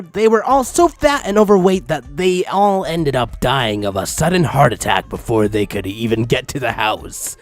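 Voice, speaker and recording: A man reading a story aloud as comic narration, incredulous and breaking off for asides, with music behind him. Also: medium pitch. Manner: incredulous, often breaking from the script. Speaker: fan fiction reader.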